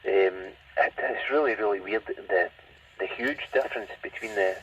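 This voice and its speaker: A man's voice: on the low side, normal speed, fairly bright tone. A man with a Glasgow-area Scottish accent rambling conversationally over a CB radio, heard through the set's speaker. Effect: CB radio transmission. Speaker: CB radio operator. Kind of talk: casual radio chat. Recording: muffled, distant, noisy CB radio speaker in car.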